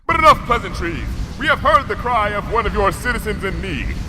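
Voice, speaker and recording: A man's voice: high-pitched, lively intonation, fairly quick, noisy background, pitched above the performer's own voice. A man voicing a regal princess of the night who speaks in thundering, formal old-fashioned speech. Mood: booming regal. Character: booming archaic princess of the night, female.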